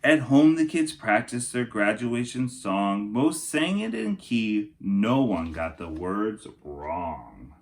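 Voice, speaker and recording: A man with a fairly low voice reads aloud like a teacher to young children. His pitch moves in big, lively swings. The delivery is expressive and addressed straight to the listeners. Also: slow pace, few pauses. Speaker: kindergarten teacher reading aloud to pupils. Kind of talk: animated children's storybook read-aloud.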